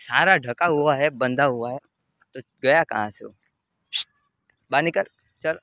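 Young man, chatty vlogger, outdoor cricket ground, camera at arm's length, mid pitch. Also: a little slow, creaky, bright, forceful tone, no echo. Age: young adult. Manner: chatty, addresses viewers directly. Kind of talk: casual vlog narration. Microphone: handheld camera at arm's length.

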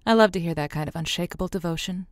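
An actress plays a radio lonely-hearts host, a woman with a slightly low voice in a dry studio sound. She is folksy and reassuring, speaking to callers with sympathy. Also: racing, no echo, close to an everyday voice. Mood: sympathetic. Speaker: radio call-in show host. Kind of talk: scripted radio advice show.

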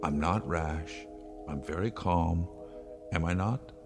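An actor playing a middle-aged father and inventor in a radio drama, earnest and measured but halting under strain. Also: deep voice, over music. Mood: insistent. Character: patient, anxious father, a commanding inventor.

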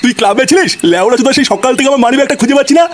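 An excitable, scolding Bengali cartoon villager, acted by a man, pitched extremely high. The words tumble out at a frantic speed in crude, agitated village slang, with faint noise on the recording. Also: rapid-fire. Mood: scolding, angry.

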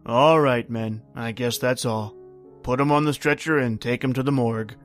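A calm, businesslike police inspector who speaks low and measured, as a man acts him out. It is an old-time radio crime drama with faint music behind. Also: lightly acted.

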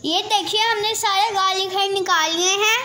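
A young girl talks slowly through a home recipe, taking her time over each step. She is recorded in an echoey home kitchen, and clear sizzling from the pan sits behind her voice. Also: very high voice, steady tone, pushed and bright, a little hiss or hum. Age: child. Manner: slow, careful explaining. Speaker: cooking show host. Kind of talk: step-by-step cooking demonstration. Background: frying and sizzling.

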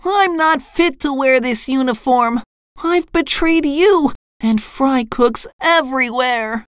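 A woman doing a very high, excitable sea sponge. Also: slow pace, no room sound, studio quality, exaggerated voice. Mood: sobbing, distraught. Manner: squeaky, eager, melodramatic. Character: excitable, timid, tearful young male sea sponge fry cook. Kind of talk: children's storybook character voice.

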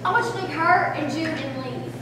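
A desperate mother pleads in a stage play , acted by a young woman. Her high voice rings from a distance through a very echoey hall. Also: a little fast, noisy background, natural voice. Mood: desperate, urgent. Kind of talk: amateur stage drama acting.

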